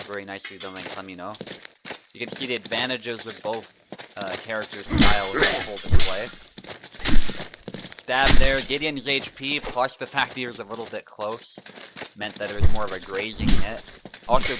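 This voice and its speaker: A man giving live commentary on a fencing video game tournament, calmly explaining technique as the fights play out. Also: fairly low pitch. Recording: loud background noise.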